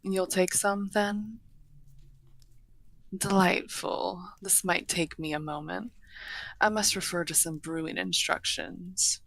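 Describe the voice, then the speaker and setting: A woman's voice: lowish voice, fairly even intonation, creaky, fairly bright tone, no room sound, heavily acted. A woman playing a courteous, refined half-dragon hostess in a fantasy roleplay, speaking formally with dry teasing. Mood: hospitable, gracious. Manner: genteel, formal, unhurried wording with dry teasing. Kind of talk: fantasy roleplay audio acting, addressing the listener.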